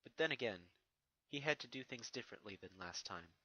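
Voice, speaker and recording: A man's voice: medium pitch, lively intonation, a little fast, bright, forceful tone, breathy edge. A man giving a dramatic scripted narration of a fantasy fan fiction story.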